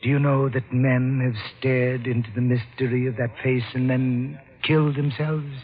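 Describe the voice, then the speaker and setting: A man's voice: mid-range voice, little pitch movement, normal speed, lightly acted. Man acting an odd, reverent butler on muffled radio. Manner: deferential, reverent. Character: odd, devoted butler, quietly obsessed with a painting. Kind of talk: old-time radio drama acting. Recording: dull, faintly noisy old radio recording.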